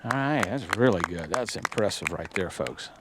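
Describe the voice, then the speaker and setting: A man's voice: sits low, animated, moderate pace, background noise. A man commenting on a golf broadcast, explaining the technique behind shots. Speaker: golf analyst.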